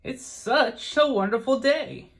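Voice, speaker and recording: A reader puts on a cheerful little animal friend from a storybook. The voice is pitched well up, curious and singsong, full of perky encouragement. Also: takes it slowly. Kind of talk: children's storybook character voice.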